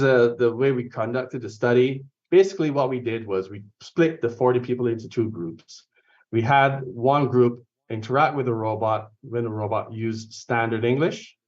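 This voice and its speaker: A man's voice: medium pitch, darkish tone. A man presenting a research study at a conference, explaining it step by step with frequent fillers and self-corrections. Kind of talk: academic research talk. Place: conference venue or online session. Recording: distant, dull lo-fi conference recording.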